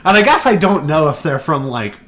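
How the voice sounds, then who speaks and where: A man's voice: on the high side, sing-song, brisk, a bit bright. Rambling young man telling a story. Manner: rambling storyteller with many restarts. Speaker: podcast co-host. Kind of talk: casual podcast chat.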